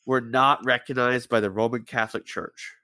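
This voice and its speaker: A man's voice: mid-range voice, fairly even intonation, moderate pace, sharp tone, no echo. A man with a head cold hosting a casual podcast, rambling through a story and stumbling over names as he swears offhandedly. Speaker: podcast host.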